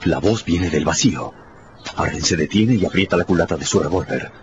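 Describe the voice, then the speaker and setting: A man's voice: low-pitched, lively intonation, brisk, very noisy. A man narrating a scripted story for radio in a dramatic storytelling style. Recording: dull sound with music behind.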